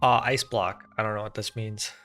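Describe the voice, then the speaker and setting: Casting: female game streamer with a deep-set voice, informal and reactive, soft music in the background. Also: steady tone, moderate pace. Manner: informal, muttering reactions, repeats herself. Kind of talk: casual reactive gameplay commentary.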